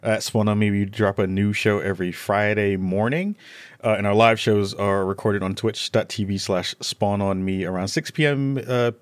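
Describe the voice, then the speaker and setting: A man's voice: fairly low pitch, no room sound. A man chatting casually as a podcast guest, with a jokey, informal, slangy way of talking. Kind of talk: casual chat.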